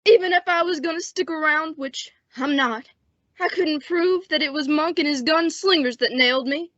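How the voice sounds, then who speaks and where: A wounded western lawman, played by a young woman, speaks in a high, strained voice. He is resigned and folksy, in a radio play with a dry, dulled sound. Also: normal speed, a bit bright, no echo. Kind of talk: old-time western radio drama acting. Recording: dull, dry lo-fi radio recording.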